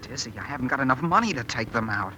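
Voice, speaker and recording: A man's voice: medium pitch, lively intonation, quick pace, close to an everyday voice. An actor playing an awkward, anxious, whiny teenage boy in a 1930s radio comedy. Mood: glum, flustered. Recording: noisy vintage 1939 radio recording.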